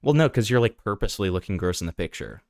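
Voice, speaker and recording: A man's voice: fairly low pitch, animated, quick pace, dry recording, studio quality. A young man hosting a livestream, rambling about his poll with lots of filler words. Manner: rambling, filler-heavy, jokey. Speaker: streamer host. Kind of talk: casual livestream chat.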